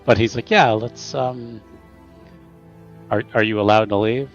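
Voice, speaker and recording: A man playing a tabletop roleplaying game, improvising casually in a halting, stammering way. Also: lowish voice, steady tone, dull audio, soft background music.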